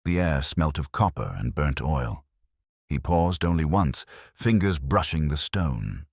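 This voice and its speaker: A man narrating a dramatic mystery story with a measured, solemn, literary delivery. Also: a bass voice, animated, vocal fry, slightly rough, no room sound, clean studio sound. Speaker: audiobook narrator.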